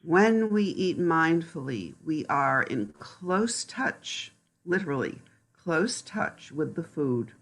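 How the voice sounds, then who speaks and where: Slow, calm woman reading meditatively. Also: deep voice. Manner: gentle, calm, deliberate. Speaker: guest reading on mindful eating.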